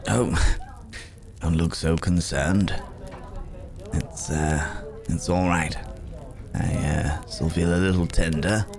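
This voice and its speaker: A man acts out a werewolf wanderer with an extremely low voice and an unhurried pace. He is gentlemanly and wry, with a brooding streak, and he pauses and murmurs as he muses. The delivery is close, intimate ASMR fantasy roleplay. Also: fairly even intonation, a crowd in the background. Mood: courteous, reassuring. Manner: halting, musing, gentlemanly, with hums and murmurs. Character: weary, courteous male werewolf traveler, wry and brooding.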